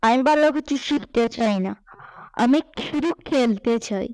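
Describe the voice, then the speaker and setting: A woman voicing a mischievous, stubborn little boy in a children's cartoon, with a slow childlike delivery. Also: a little high, a little mellow, dry recording, close to an everyday voice, poor audio. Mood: defiant.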